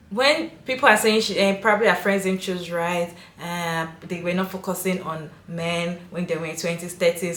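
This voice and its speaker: A woman's voice: lowish voice, a bit bright, echoey room, a little hiss or hum. A woman hosting a video, giving her opinion emphatically and asking viewers questions. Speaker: video host and commentator. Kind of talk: casual commentary to viewers.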